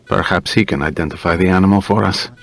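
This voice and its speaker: A man voicing a courteous, careful hospital doctor in an audiobook, with measured, formal phrasing. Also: low-pitched, creaky.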